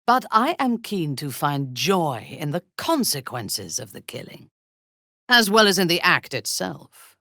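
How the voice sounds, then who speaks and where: An actress voicing a haughty, ruthless female warrior zealot in a video game, speaking in a formal, imperious, deliberate way with a husky voice. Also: deep voice, big pitch swings, fairly bright tone, no room sound, clean studio sound, heavily acted. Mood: scornful, cool. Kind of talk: video game character voice lines.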